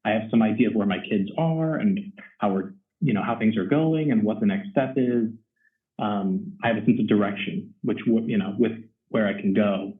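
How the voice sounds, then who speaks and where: A young man from Texas, a first-year choir teacher, talks through his answers with plenty of ums and restarts. His voice sits a little low. He is heard over a distant, echoey, phone-like line. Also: normal speed. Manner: rambling with many ums and restarts. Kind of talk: casual interview chat.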